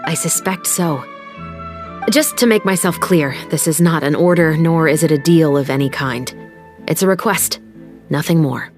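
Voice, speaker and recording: A woman playing a composed, principled young musketeer officer in a video game, speaking in a measured, formal, earnest way. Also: low voice, a little mellow, close to an everyday voice. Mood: earnest, serious. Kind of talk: dramatic game voice acting. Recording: music behind the voice.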